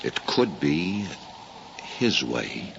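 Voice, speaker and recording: An actor in a 1950s radio drama playing a grave, kindly older uncle, earnest and theatrical. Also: male voice, a bass voice, sing-song, extremely slow, creaky, a bit bright, full character voice. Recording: muffled, noisy old radio recording.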